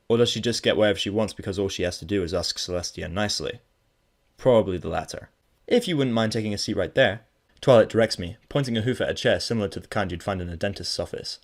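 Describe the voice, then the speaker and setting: Low-voiced young man, first-person storyteller, dry and sarcastic delivery. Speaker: narrator. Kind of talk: first-person audiobook narration.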